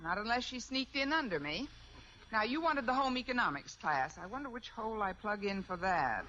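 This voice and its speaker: The recording is muffled and noisy, like an old radio comedy. a quick-witted American woman teacher with a swooping, expressive delivery. She tosses off dry, sarcastic wisecracks. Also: a little high. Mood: flustered, wry. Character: wry, quick-witted female high school English teacher. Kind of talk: 1950s American radio sitcom acting. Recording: dull old radio recording, clear noise.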